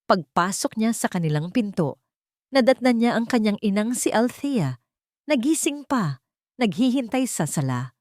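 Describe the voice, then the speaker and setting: A woman's voice: fairly high pitch, sing-song, moderate pace, darkish tone, dry recording, clean studio sound. A woman reading a scripted romance story as a dramatic narrator.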